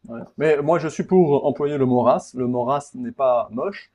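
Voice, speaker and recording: A man's voice: medium pitch, normal speed, darkish tone. A man from Paris, hosting a casual interview in an informal, blunt, digressive way and often repeating words. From Paris, France. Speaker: podcast host, interviewer.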